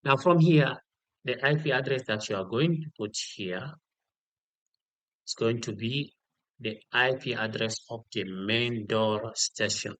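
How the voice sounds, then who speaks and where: Slow-paced man giving step-by-step instructions. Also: medium pitch, a bit bright, dry recording, rough recording. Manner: instructional, repeats key details and checks with "okay". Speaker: tutorial instructor. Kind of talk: step-by-step software tutorial, unscripted.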